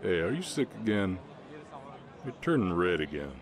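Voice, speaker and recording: A man playing a shy, gentle orc cowboy with a slight Southern accent and hesitant delivery in a roleplay audio drama. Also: low-pitched, sing-song, normal speed. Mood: playful. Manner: hesitant, stammering, bashful. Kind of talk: roleplay audio drama, soft ASMR acting.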